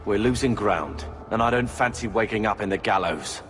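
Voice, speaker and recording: A man's voice: fairly low pitch, moderate pace, fairly bright tone, faint music behind, natural voice. An actor with a British accent playing a sullen, resentful young male warrior in a video game, sardonic and insistent. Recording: long reverberant echo.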